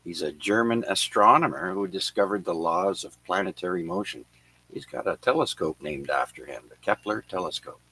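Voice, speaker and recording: A man hosting an amateur radio net, reading notes on who was born and who died on this day, with many filler pauses. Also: low voice, lively intonation, sharp tone, breathy edge, rough recording. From Canada. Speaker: radio net control host. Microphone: radio transceiver microphone.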